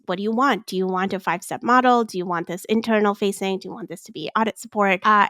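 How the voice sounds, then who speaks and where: Woman, medium pitch, dry sound, informal tutorial, conversational, finance expert, voices example prompts. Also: a bit bright, dry recording. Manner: informal, conversational, voices hypothetical instructions and questions. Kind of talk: casual walkthrough demonstration.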